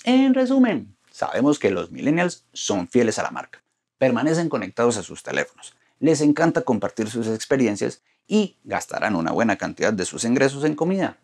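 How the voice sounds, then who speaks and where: A man's voice: mid-range voice, wildly swooping pitch, fairly quick, a little mellow. A middle-aged man presenting a marketing explainer video to camera from a studio, gesturing as he talks and stressing each point of his list. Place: studio in front of a green backdrop.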